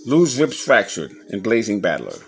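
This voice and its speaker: A man giving a casual show-and-tell commentary as an enthusiastic collector, halting a little as he reads old clippings aloud. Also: fairly low pitch, huge pitch swings, soft background music. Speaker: boxing memorabilia collector showing his scrapbooks on video.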